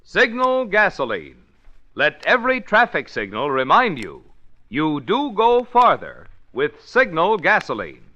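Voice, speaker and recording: A man's voice: high voice, huge pitch swings, a little slow, bright, forceful tone. A man announcing in emphatic, punchy 1940s radio advertising style. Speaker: commercial announcer. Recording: dull vintage radio recording.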